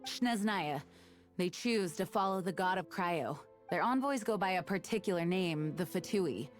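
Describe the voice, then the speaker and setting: A woman's voice: on the low side, animated, lightly acted. Casting: dutiful young knight commander for a fantasy game, played by a woman, composed, formal delivery, over music. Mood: grave, measured. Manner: formal, measured, serious. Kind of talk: scripted video game voice acting.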